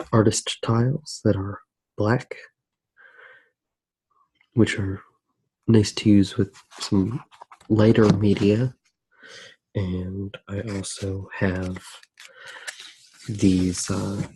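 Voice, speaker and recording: A man speaking softly in an ASMR style, musing hesitantly and without a script as he narrates his drawing. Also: low-pitched, a little hiss or hum, poor audio. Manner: hushed, hesitant, unscripted musing. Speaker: host of an ASMR drawing channel. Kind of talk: soft-spoken ASMR narration while drawing.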